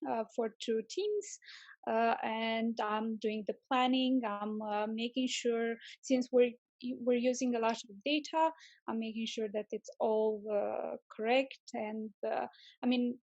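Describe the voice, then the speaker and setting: Female interviewee, higher pitch, dry sound, casual, hesitant but keen delivery. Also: steady tone, measured pace, darkish tone, no echo, dull audio. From Romania. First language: Romanian. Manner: hesitant, searching for words, enthusiastic. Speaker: interview guest, tech manager.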